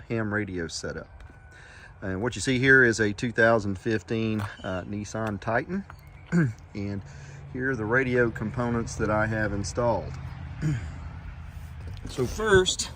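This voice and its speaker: Low-voiced man, halting, noisy phone recording. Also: a bit bright. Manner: halting, frequent throat clearing. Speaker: ham radio hobbyist presenting. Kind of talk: informal video walkaround narration. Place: around and inside a parked truck, outdoors. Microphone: handheld iPhone.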